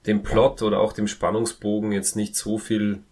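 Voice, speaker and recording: Halting low-voiced man with some creak. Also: flat delivery, darkish tone. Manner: hesitant, halting, searching for words. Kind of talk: casual podcast discussion.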